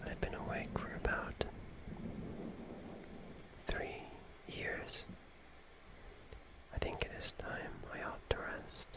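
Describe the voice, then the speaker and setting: ASMR performer needed: hushed whisper, unhurried pacing, long pauses, whimsical and gently mock-formal phrasing. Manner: slow, deliberate delivery with long pauses. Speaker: ASMR streamer playing a character.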